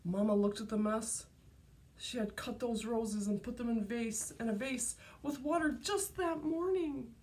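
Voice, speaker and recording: High-pitched, slow-reading woman storyteller. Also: darkish tone. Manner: deliberate, exclaiming, prompting young listeners. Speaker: teacher reading to kindergartners. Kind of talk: expressive storybook read-aloud.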